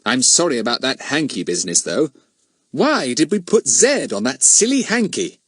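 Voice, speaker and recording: A man voicing a confident, self-pleased schoolboy leader in a children's storybook, brisk and instructive. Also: mid-range voice, huge pitch swings, fairly bright tone, husky, dry recording, studio quality, voice pushed up, full character voice. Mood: thoughtful.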